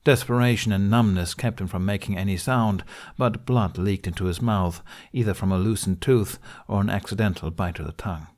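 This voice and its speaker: A male audiobook narrator with a slightly low voice. He reads scripted fiction in a dramatic storytelling style. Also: a little fast, few pauses, darkish tone.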